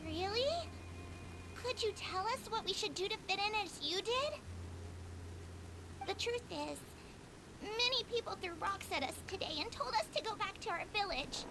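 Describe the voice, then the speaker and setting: A woman voicing a small, gentle female Melusine creature who talks in an earnest, sweet and eager way. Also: very high-pitched, animated, normal speed, pauses often, low-quality recording. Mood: hurt. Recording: loud game music behind.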